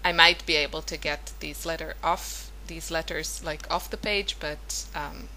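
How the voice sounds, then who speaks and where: A woman's voice: low voice, steady tone, unhurried, bright, forceful tone, slight background noise, poor audio. A woman whose first language is Hebrew, chatting loosely through a scrapbooking voice-over, full of fillers and self-corrections. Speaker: scrapbooking vlogger. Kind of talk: casual voice-over narration of a craft process.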